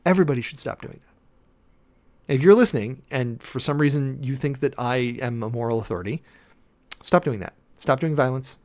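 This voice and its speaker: A man's voice: mid-range voice, moderate pace, a little mellow. A man reading aloud dramatically and breaking off into informal, opinionated commentary, with an emphatic, theatrical manner and frequent asides. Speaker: host, preacher giving commentary.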